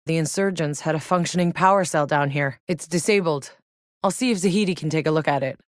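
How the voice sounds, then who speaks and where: A woman's voice: sits low, brisk, no room sound, clean studio sound, natural voice. An actress playing a tough, sardonic female soldier in a sci-fi shooter game, with a wry, blunt delivery. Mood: focused, businesslike. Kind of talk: video game voice lines, naturalistic acting.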